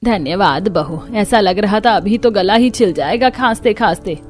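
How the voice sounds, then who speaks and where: A woman's voice: medium pitch, expressive, normal speed, darkish tone, soft background music, natural voice. A woman voicing an ailing, devout old mother-in-law in a cartoon, weary and plaintive but stubborn. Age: older.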